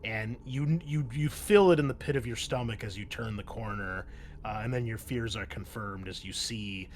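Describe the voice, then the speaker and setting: Male game master, lowish voice, conversational improvised narration with halting phrasing, some background noise.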